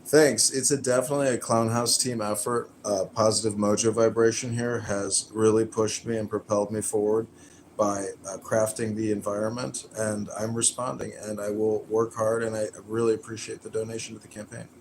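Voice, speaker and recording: A man's voice: on the low side, flat delivery, moderate pace, noisy background. A man hosting a campaign call, thanking supporters earnestly. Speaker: political candidate hosting a call. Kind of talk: casual group call.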